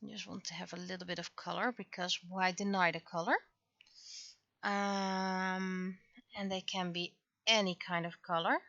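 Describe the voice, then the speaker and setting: A woman chatting casually on a livestream as she works, thinking aloud in a halting way and talking half to herself. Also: fairly low pitch, flat delivery, sharp tone, dry recording, muffled recording. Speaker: livestreaming webcomic artist. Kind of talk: casual livestream chat while working.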